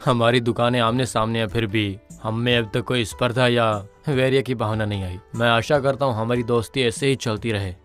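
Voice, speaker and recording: A man playing a kindly, conscientious middle-aged village merchant in a moral-story cartoon, earnest and measured. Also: medium pitch, fairly even intonation, fairly bright tone, soft background music, natural voice. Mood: friendly.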